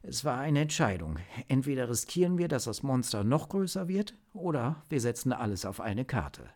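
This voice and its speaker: A man's voice: mid-range voice, big pitch swings, moderate pace, a little mellow, voice pushed up. A man voicing an eager, inventive male tech agent who explains technical things earnestly in a story. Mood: earnest, regretful.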